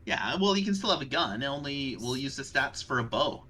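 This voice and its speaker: Man, bright animated voice, casual gamer chat, slightly noisy stream. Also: medium pitch, brisk. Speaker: tabletop game player. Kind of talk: casual group banter on a live stream.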